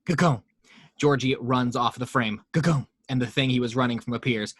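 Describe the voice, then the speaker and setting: A man's voice: medium pitch, normal speed, husky, no echo. Man narrating stage directions, dry. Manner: steady reading of stage directions, voicing sound effects. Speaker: narrator reading action description. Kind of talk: table read narration.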